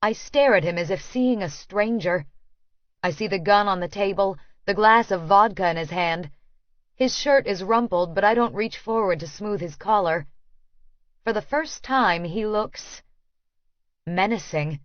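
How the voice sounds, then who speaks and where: Woman, audiobook narration, unhurried and measured, dramatic first-person fiction, dry close sound. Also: medium pitch, dry recording, muffled recording.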